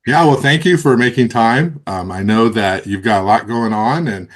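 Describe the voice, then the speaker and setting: A man's voice: medium pitch, normal speed. A man hosting a casual interview, asking long friendly questions with many fillers and restarts. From California. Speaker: podcast host, interviewer.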